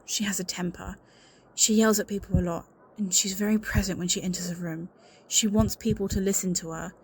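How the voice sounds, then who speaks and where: A woman's voice: mid-range voice, darkish tone, a little hiss or hum, lightly acted. A woman playing an anxious, suspicious secretary in an audio roleplay, speaking in an earnest, confiding and insistent way. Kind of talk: scripted audio roleplay acting, one-sided conversation.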